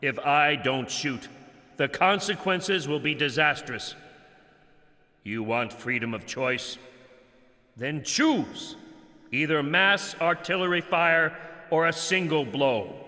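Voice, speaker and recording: Slow, bright-voiced stern army general. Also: male voice, fairly high pitch, animated, full character voice. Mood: grave, resolute. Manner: grave, deliberate, declamatory. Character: stern male army general weary of doomed orders. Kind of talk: theatrical scripted game monologue. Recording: long reverberant hall.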